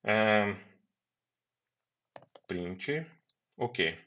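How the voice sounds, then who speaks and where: A man's voice: fairly low pitch, lively intonation, very slow, a bit bright. A man teaching a programming lesson, talking students through each step and repeating key words. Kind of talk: screen-recorded coding lesson. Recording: dull lo-fi voice recording.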